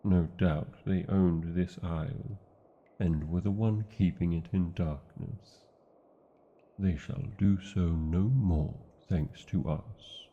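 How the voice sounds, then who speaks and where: A man's voice: deep voice, fairly even intonation, slow, breathy edge, highs cut off, exaggerated voice. A British man playing a proud, tender dragon lover in a fantasy audio romance, speaking in formal, old-fashioned, theatrical phrases. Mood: proud, intimate. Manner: formal, archaic, theatrical phrasing with tag questions. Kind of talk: fantasy ASMR roleplay, slow intimate acting.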